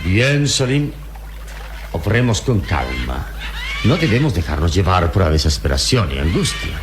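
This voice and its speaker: Very deep-voiced noble adventure hero. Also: male voice, sing-song, over music. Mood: calm, firm. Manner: solemn, deliberate, theatrical delivery. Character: heroic adult male adventurer, calm, noble, resolute. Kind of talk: radio drama acting. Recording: noisy old radio drama recording.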